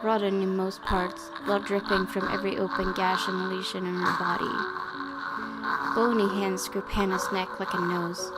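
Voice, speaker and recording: Slightly raspy young woman reading a horror game. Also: mid-range voice, flat delivery, normal speed, poor audio. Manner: hesitant asides with frequent fillers, dramatic hushed reading. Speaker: let's play host. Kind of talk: reading a horror visual novel aloud with reactions. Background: loud game music.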